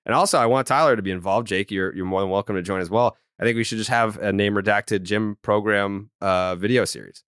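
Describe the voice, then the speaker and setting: A young man hosting a sports podcast, loud and teasing in casual chat. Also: fairly low pitch, a little fast, hardly any pauses, clear, resonant tone, no room sound, studio quality. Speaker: podcast host.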